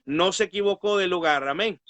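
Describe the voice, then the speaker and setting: A man's voice: high-pitched, brisk, a bit bright, dry recording, highs cut off. A man, a pastor and Bible teacher, speaking earnestly and piously in a religious talk, often punctuating it with amens. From Guatemala.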